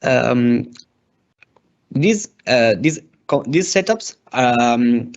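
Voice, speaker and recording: A man narrating a step-by-step software demo as a tutorial presenter, with a hesitant, halting delivery. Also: medium pitch, expressive. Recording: dull, lo-fi microphone sound.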